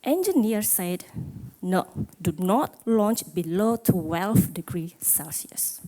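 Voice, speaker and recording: A woman's voice: medium pitch, expressive, slow, mellow, low-quality recording. A middle-aged woman gives a conference talk, asking the audience questions and joking about herself. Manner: self-deprecating, asks the audience questions. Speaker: conference speaker. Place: conference hall.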